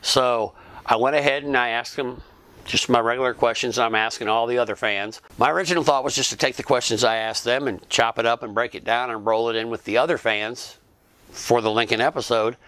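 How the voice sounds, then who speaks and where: A man telling his own trip story in a relaxed, chatty way, with small restarts. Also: mid-range voice, a little fast, dry recording. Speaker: YouTube vlogger and fan interviewer. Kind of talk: casual recounting narration.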